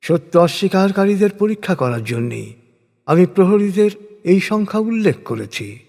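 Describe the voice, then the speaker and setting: A man reads scripture in translation as a solemn scripted narration, with measured, dramatic phrasing and deliberate pauses. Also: fairly high pitch, a little mellow. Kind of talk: solemn scripted religious recitation in prose. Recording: long hall-like reverb.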